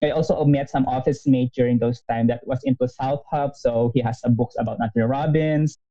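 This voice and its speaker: Animated man in his thirties, muffled audio. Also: medium pitch, a little fast. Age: 30s. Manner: animated, laughs often, many restarts. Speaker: podcast guest. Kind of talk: casual interview storytelling.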